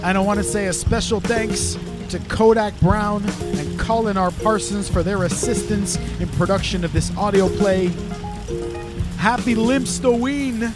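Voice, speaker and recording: A man's voice: sits high, a little slow, loud music behind the voice. A man hosting a podcast, speaking in the style of an episode sign-off. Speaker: podcast host.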